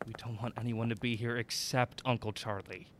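A man's voice: medium pitch, little pitch movement, fairly quick, lightly acted. A man playing a cautious, wry amateur sleuth in a mystery audio drama, questioning and dry.

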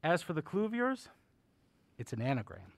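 An actor playing a courtly, whimsical old uncle who runs a curiosity shop in an audio drama, genial and theatrical, with old-fashioned manners. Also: male voice, fairly high pitch, huge pitch swings, moderate pace, gravelly, no echo, heavily acted. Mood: fond, confiding.

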